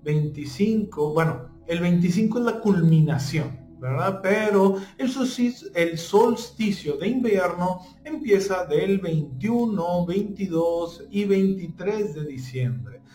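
Unhurried man with a roomy echo. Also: high voice, lively intonation, mellow. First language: not Spanish (joking). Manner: checks in with listeners using ¿ok? and ¿sí?; halting, many fillers, stumbles over names. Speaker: spiritual teacher. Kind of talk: informal talk on symbolism. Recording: echoey room with faint music.

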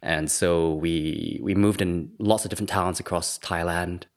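Man in his 30s, very low voice, relaxed chatty podcast guest. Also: a little slow. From Singapore. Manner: chatty and easygoing, with small laughs and hedges. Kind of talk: relaxed conversational storytelling.

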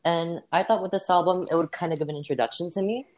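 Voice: mid-range voice, steady tone, fast talker. A person answering as a guest in a casual role-played interview, hesitant and leaning on fillers, brushing off slips. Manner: hesitant, many fillers, laughs off mistakes. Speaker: interview guest playing a singer.

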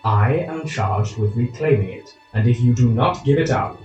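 An actor playing a coldly formal, insistent and menacing royal chancellor in an audio drama. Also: male voice, medium pitch, lively intonation, moderate pace, darkish tone, lightly acted. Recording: echoey, slightly dull, music behind.

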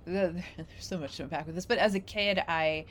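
A woman in casual, reflective conversation, telling stories in an animated way and acting out imagined quotes. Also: fairly low pitch, a little fast, a little hiss or hum.

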